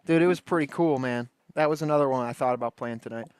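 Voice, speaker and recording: A man's voice: mid-range voice, fast, darkish tone, smooth, no echo. Casual man, close dry livestream. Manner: laid-back, rambling, slangy. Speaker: livestream host. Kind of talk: casual chat commentary.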